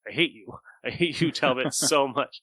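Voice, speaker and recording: A man's voice: medium pitch, normal speed, a bit bright, dry recording, poor audio. A man hosting a podcast in casual review chat, blunt and free with swearing. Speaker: podcast host.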